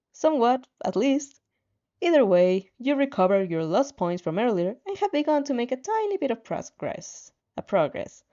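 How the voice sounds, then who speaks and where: A woman's voice: a little high, dark tone. A woman reading a story aloud expressively as narrator, with casual reactions, on a slightly dull, lo-fi recording. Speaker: fan fiction narrator.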